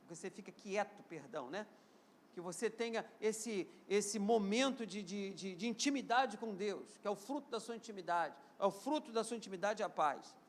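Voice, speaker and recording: A man in his fifties with a Rio de Janeiro accent, preaching a live church sermon and drawing the congregation into call-and-response. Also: very high-pitched. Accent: Brazilian, Rio de Janeiro. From Brazil. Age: 50s. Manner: call-and-response preaching, urging the congregation to repeat phrases and say amen; swings between loud emphatic peaks and quieter stretches. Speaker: pastor.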